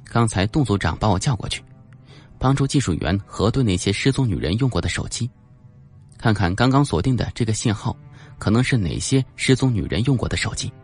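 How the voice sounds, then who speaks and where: A man voicing an eager, impatient young policewoman in an audiobook, in a brisk, insistent manner. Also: fairly low pitch, expressive, a little mellow, soft background music, pitched above the performer's own voice, natural voice. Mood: earnest.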